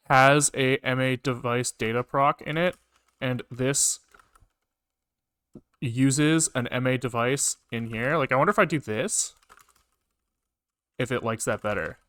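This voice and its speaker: A man with a medium-pitched, clear and bright voice talks casually to himself as he codes on stream. His delivery is halting and exploratory, with half-finished thoughts and questions put to himself. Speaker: live-coding streamer, programmer. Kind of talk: casual thinking aloud while programming on a livestream.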